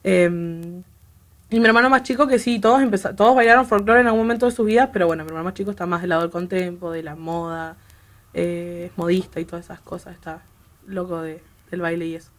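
Giggly young woman. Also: on the low side. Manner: laughs often, halting restarts. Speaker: guest dancer. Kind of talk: casual chat.

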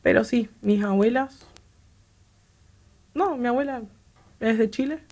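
A woman's voice: a little high, measured pace, darkish tone. A young woman, chatting as a guest about her family and dance, laughing often and restarting her sentences. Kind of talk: casual chat.

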